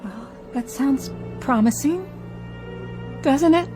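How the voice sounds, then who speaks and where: Nervous, questioning young-ranking stewardess, higher voice, radio play with music underneath. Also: female voice, animated, takes it slowly. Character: anxious, questioning junior female flight attendant. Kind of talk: radio drama acting.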